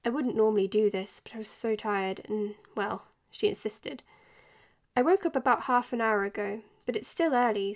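A woman's voice: on the high side, natural voice. An actress playing a caring, tired woman doctor in a naturalistic audio drama, speaking earnestly and hesitantly. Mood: tired, hopeful. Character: anxious, caring, tired young female doctor. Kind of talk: naturalistic audio drama, confessional podcast narration.

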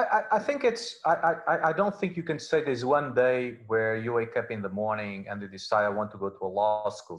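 A middle-aged man from Portugal, a native Portuguese speaker with a Portuguese accent, giving halting, conversational interview answers as a professor on a video call. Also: fairly low pitch. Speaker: law professor, interview guest. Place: indoor room, video call. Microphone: wired earphones on a video call.

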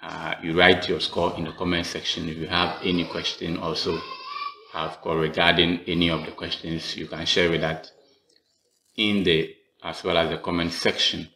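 A Ghanaian man teaches in a very low, level voice. He speaks in measured, broken phrases with frequent pauses, like an instructor addressing students. The room is noticeably echoey. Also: flat delivery, vocal fry, pushed and bright. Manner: halting, measured delivery with pauses mid-phrase. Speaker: teacher, channel host. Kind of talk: instructional video introduction. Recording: echoey room with faint background noise.

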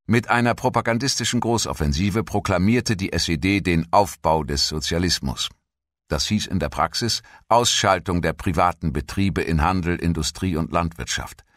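A man with a low voice delivers scripted documentary narration. He reads formally and in measured phrases. The sound is dry and close, with hardly any room around it. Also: fairly bright tone, dry recording.

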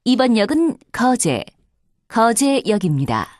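A woman's voice: fairly high pitch, very animated, measured pace, darkish tone, no room sound, studio quality. A woman reading formal scripted subway announcements in a polite, carefully enunciated way.

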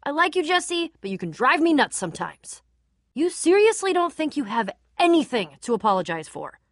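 A woman's voice: high voice, big pitch swings, normal speed, no room sound, studio quality. An actress playing a tough, guarded young female adventurer in a video game, casual, candid and dryly sarcastic. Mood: irritated, teasing. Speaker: game voice actor. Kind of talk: video game character dialogue.

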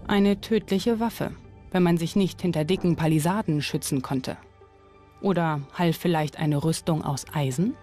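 A woman's voice: sits low, moderate pace, dark tone, soft background music. A woman reading scripted documentary narration.

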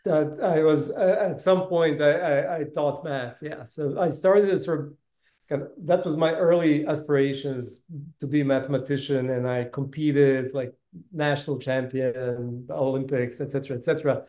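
A man's voice: on the high side, steady tone, moderate pace, mellow. Balkan-accented man in a roomy space. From Bosnia. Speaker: interview guest, tech business executive. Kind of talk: casual interview talk, storytelling. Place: indoor room, video call. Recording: roomy, reverberant video call audio.

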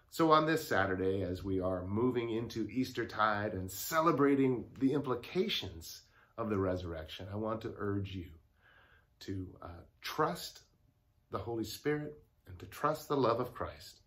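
Older man, pastor, low voice, devotional talk, lapel mic, study at home. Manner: earnest, warm pastoral address with pauses. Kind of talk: daily devotional message.

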